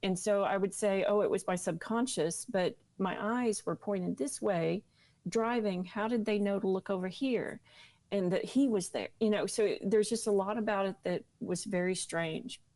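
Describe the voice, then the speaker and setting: A woman interview guest recounting her own experience in a candid, emphatic way, with dramatic pauses on key words. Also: mid-range voice, mellow, no echo. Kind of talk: personal storytelling in an interview.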